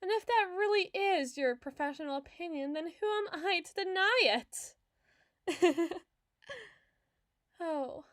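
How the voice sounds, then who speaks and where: Woman performing yandere-style female patient, high-pitched, dry studio sound, obsessive, melodramatic, coy, wheedling, ASMR roleplay. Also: no echo. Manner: dramatic, coy, wordy, wheedling. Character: obsessive, clingy lovesick female patient, theatrical flirt.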